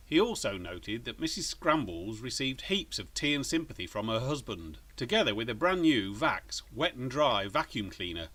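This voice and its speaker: A man's voice: medium pitch, fairly bright tone. A man narrating a children's story as its author, in a scripted reading style.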